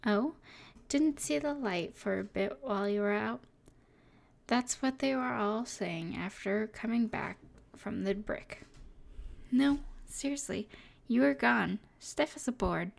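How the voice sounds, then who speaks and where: A woman playing a smug, teasing evil sorceress in an intimate ASMR roleplay, with a breathy edge and a haughty, mysterious manner. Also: on the high side, takes it slowly, full character voice. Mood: wry, frank.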